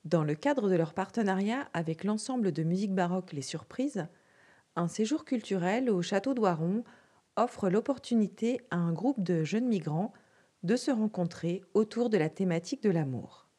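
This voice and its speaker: Woman narrator, calm even read, dark husky voice. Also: medium pitch. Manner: even, measured reading. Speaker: podcast narrator. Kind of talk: scripted narration.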